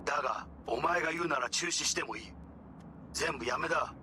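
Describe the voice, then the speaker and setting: An actor playing a cool, controlling corporate heir in a video game, speaking briskly and with authority. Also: male voice, a little high, noisy background, natural voice. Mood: cool, pressuring. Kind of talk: cinematic video game acting.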